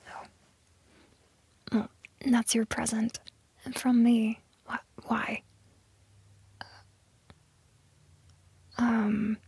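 A woman acting a flustered, awkward young lover in an intimate audio roleplay, with a breathy, hushed voice and halting, stammering delivery. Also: on the high side, no echo, full character voice. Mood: flustered, hesitant. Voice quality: breathy, whispery.

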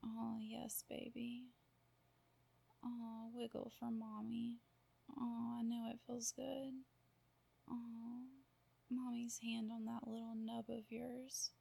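Breathy, creaky, softly whispering woman. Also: fairly high pitch, completely flat delivery. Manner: soft cooing, half-whispered, drawn-out murmurs. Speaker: roleplay performer. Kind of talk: intimate whispered audio roleplay.

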